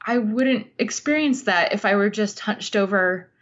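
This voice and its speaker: A middle-aged woman speaking earnestly and thoughtfully as an interview guest, telling stories in halting phrases. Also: mid-range voice, muffled recording. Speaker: podcast guest, writer. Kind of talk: reflective interview conversation, storytelling.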